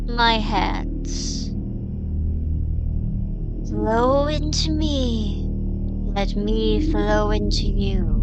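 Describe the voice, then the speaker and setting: A woman acting as a gentle, ancient mystic guide in a fantasy audio drama, solemn and coaxing in a hushed, deliberate delivery. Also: a little high, poor audio. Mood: gentle, mystical.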